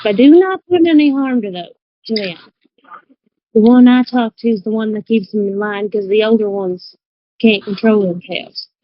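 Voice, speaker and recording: A woman's voice: medium pitch, normal speed, no room sound, natural voice. A woman playing a shrewd, protective female dealmaker in a tabletop game, with a drawling, folksy manner. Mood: firm, guarded. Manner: drawling, folksy, calls people baby. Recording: dry, slightly dull voice-chat audio.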